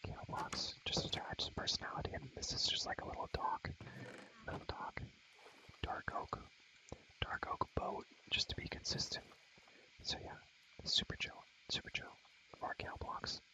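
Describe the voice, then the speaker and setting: A man's voice: normal speed, long pauses, bright, forceful tone, rough recording. A young man whispering close to the microphone in a casual, rambling ASMR gaming chat, repeating words and phrases and using slang. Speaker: ASMR creator showing a Minecraft world.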